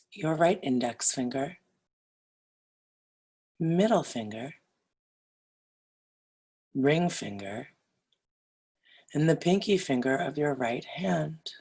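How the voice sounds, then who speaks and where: A woman with a low, breathy-rough voice guides a meditation. She speaks very slowly and deliberately, leaving long pauses between short phrases. Her delivery is soothing and instructional throughout. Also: darkish tone. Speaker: yoga nidra meditation guide.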